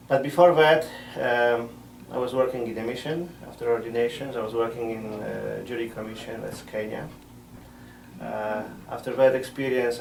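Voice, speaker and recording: A middle-aged man, a missionary priest answering interview questions in a measured way, often pausing to find words. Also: on the low side, gravelly, a little hiss or hum. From Poland. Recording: roomy sound from a distant mic.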